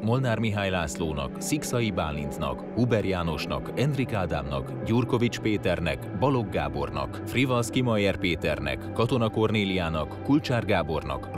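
A man reading out a scripted announcement of credits as an announcer. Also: low-pitched.

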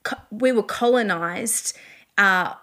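A woman's voice: medium pitch, takes it slowly, sharp tone. Enthusiastic Australian woman hosting. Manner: chatty and enthusiastic. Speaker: podcast host. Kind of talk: podcast interview.

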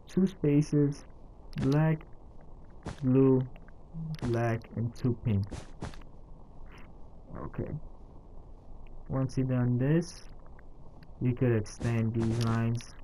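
A man narrating a casual step-by-step game tutorial in a halting, instructional way, counting out items as he goes. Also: mid-range voice, dark tone, slight background noise, low-quality recording. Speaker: tutorial host.

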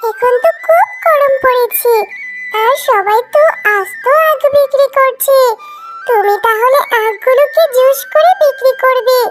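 A woman's voice: very high voice, unhurried, voice pushed up. A woman voicing an eager, chirpy little fox girl in a children's cartoon story. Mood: clever, eager. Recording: music behind the voice.

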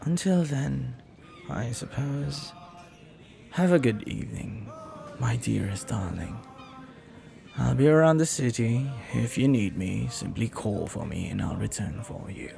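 A man playing a flirty, lovelorn pirate captain in a romantic audio roleplay, speaking softly and earnestly with hesitant, lingering pauses. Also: medium pitch, lively intonation, creaky, music in the background. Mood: fond.

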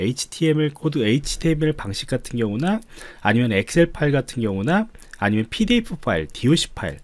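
A man's voice: medium pitch, a little mellow, no room sound. A man giving a screencast coding tutorial as an instructor, explaining politely step by step. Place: indoors, voice-over on screen recording.

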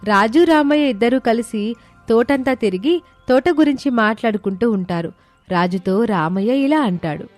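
A woman's voice: fairly high pitch, lively intonation, moderate pace, a little mellow, dry recording, faint music behind. A woman narrating a scripted moral story as a storyteller.

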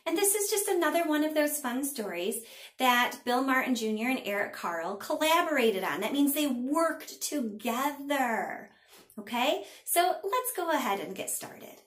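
Animated, high-voiced woman reading to children. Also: unhurried, echoey room. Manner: expressive, addressing young children. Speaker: teacher reading aloud to young children. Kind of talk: children's read-aloud. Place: small indoor room at a table.

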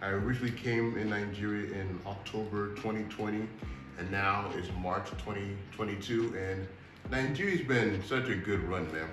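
A young man from Houston, Texas, speaking in a relaxed, earnest way straight to camera in a casual vlog, calling his viewers y'all. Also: moderate pace, music in the background. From Houston, Texas, United States. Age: young adult. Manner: relaxed and earnest, addressing viewers as y'all, gets animated with rising pitch. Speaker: vlogger. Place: indoor room with a fan running, seated on a sofa. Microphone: built-in camera mic at a short distance. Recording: echoey room, faint fan hum.

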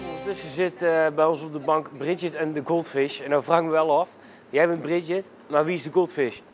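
A man's voice: a little high, steady tone, fairly quick, over music. A young man in his twenties interviewing a band, asking his questions casually with many filler sounds. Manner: friendly, searching questions with fillers. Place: venue backstage lounge, indoors.

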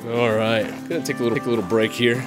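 A man narrating casually while hiking a pine forest trail with his dogs, chatty and relaxed, recorded outdoors on a handheld camera. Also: mid-range voice, very fast, over music. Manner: laid-back, chatty, talks to his dogs. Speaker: hiking vlogger.

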